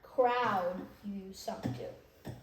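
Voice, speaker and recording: A woman interviewing a guest casually, asking questions and giving short, slow reactions. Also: mid-range voice. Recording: echoey room with clear background noise.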